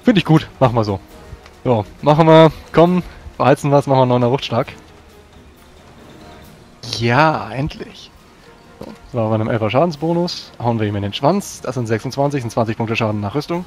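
A man's voice: mid-range voice, lively intonation, a little fast, a little mellow, faint music behind. A man playing a tabletop role-playing game, chatting casually through his moves and sums.

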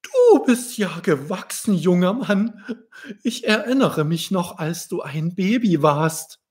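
A man voicing an elderly ladybird grandparent in a children's story, reassuring and deliberate as they explain things. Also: sits high, very animated, slightly rough, pitched above the performer's own voice, exaggerated voice. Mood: kindly, reassuring.

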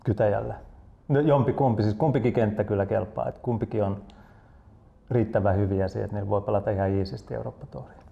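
Male coach being interviewed, middle-aged, low mellow voice, slightly dull indoor recording. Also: steady tone, gravelly. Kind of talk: interview answers. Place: indoor lounge.

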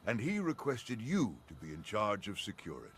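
Highly animated company boss. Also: male voice, moderate pace, slight background noise. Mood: brisk, approving. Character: smooth, no-nonsense male security company chief. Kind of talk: video game cutscene dialogue.

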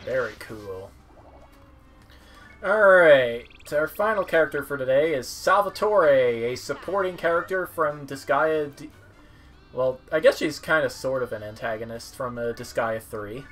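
A man commentates on a video game in a casual, musing manner. He speaks haltingly with a mid-pitched voice, over game music. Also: animated, a bit bright. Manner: halting, musing, reads on-screen text aloud. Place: indoor room at home, seated.

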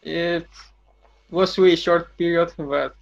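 A young Polish-accented man joins over a video call, speaking halting English with fillers and laughing easily. His voice sits a bit higher than most men's and the sound is dull and lo-fi but dry. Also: steady tone, creaky, no room sound. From Poland. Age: young adult. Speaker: podcast guest. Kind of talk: casual chat.

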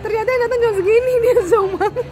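A woman's voice: very high-pitched, fast talker. A young woman, chatting playfully and teasingly as she reviews food in casual slang. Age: young adult. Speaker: food vlogger. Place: indoor mall restaurant. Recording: loud music and busy restaurant chatter. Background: music and diners' chatter.

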